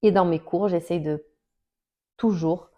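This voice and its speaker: A woman's voice: on the low side, measured pace, muffled recording. A woman from Portugal, a Portuguese teacher, talking about her path to the camera, with frequent hesitations, fillers and restarts. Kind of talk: personal talk to camera.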